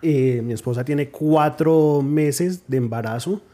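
A man's voice: on the high side, slow, dark tone. A man from New Jersey, a guest on a podcast, telling stories in casual talk and laughing often. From New Jersey, United States.

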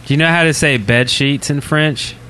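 A man co-hosting a casual podcast, playful and jokey, quick to laugh. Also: on the high side, sharp tone, clear, resonant tone, low-quality recording. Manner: jokey, giggly, playful questions. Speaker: podcast co-host.

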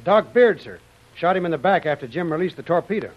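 An actor playing a tough, dutiful army sergeant with clipped delivery in an old radio serial. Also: male voice, fairly high pitch, expressive, fast talker. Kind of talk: 1930s radio serial acting. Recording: dull old radio recording with hiss.

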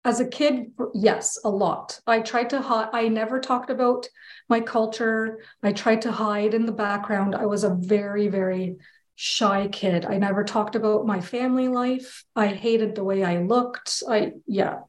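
A woman's voice: mid-range voice, steady tone, a little slow. Echoey Canadian woman, casual and candid. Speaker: interview guest, children's book illustrator. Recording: echoey, roomy sound.

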